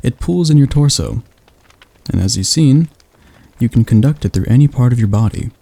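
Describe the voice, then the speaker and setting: A man voicing a calm, gentle male demon tutor in an ASMR roleplay, speaking softly and slowly with a slight stutter. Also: mid-range voice, vocal fry. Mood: soothing. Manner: soft, slow, soothing delivery.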